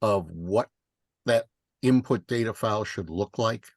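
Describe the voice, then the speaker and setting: A man speaking casually on a teleconference in a halting, tentative way. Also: on the low side, no room sound, clean studio sound. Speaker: meeting participant. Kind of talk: casual conference call chat.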